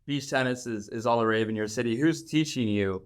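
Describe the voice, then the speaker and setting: A man's voice: medium pitch, a little fast, smooth. A man casually interviewing a guest as a podcast co-host.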